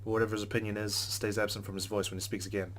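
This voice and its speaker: A man's voice: lowish voice, little pitch movement, very fast, noisy background. A man hosting a let's play, reading story narration aloud and adding casual commentary.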